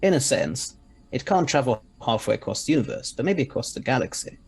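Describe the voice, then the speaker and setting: A man's voice: medium pitch, very animated, fairly quick, vocal fry, darkish tone, breathy edge, exaggerated voice. A man playing an eccentric, confident scientist time traveller in an audio drama, speaking articulately with a mildly superior air. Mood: confident, explanatory.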